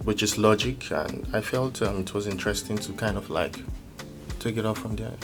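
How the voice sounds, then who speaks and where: Male producer guest, Nigerian accent, low register, casual halting explanations, clear music bed. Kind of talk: casual interview answers. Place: music studio. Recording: music playing behind the voice.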